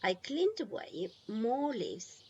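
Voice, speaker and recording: A woman reading a children's storybook aloud in an expressive, deliberate way, leaving pauses between phrases. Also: a little high, dull audio, slight background noise. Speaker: storybook reader.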